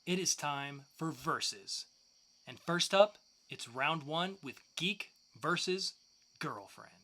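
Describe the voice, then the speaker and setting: A man's voice: a little high, animated, slow, noisy background. A man co-hosting a podcast, presenting scripted segments in an upbeat style. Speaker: podcast co-host.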